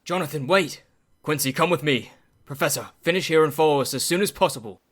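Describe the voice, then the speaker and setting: An actor playing a resolute gentleman doctor leading vampire hunters in a radio play, earnest and decisive. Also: a little high, a little fast. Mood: urgent. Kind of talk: radio play dramatic acting.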